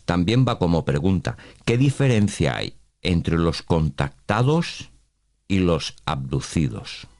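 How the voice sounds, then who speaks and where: A man hosting a radio interview, putting questions to a guest in halting phrases with pauses. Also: deep voice, sing-song, darkish tone, dry recording, studio quality.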